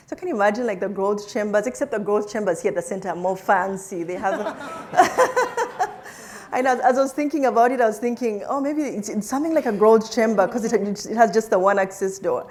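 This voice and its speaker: A woman's voice: medium pitch, lively intonation, normal speed, darkish tone. A woman from Uganda, a scientist, telling her life story in an interview, with frequent laughs and many restarts. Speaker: plant scientist guest.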